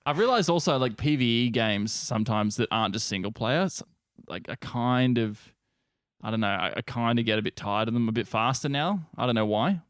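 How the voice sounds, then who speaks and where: A man's voice: mid-range voice, animated, a little fast, no room sound, studio quality. A young Australian man co-hosting a podcast in casual, sweary, slangy chat. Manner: laid-back, sweary slang. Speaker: podcast co-host.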